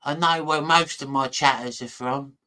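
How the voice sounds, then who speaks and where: A man's voice: medium pitch, steady tone, normal speed, bright, forceful tone. A man from Manchester with a northern English accent mixed with London, chatting casually to live viewers in a blunt, slangy way and repeating his phrases. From Manchester, northern England, later lived in London. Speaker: livestream host chatting with viewers. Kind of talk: casual chat, reading and answering live comments.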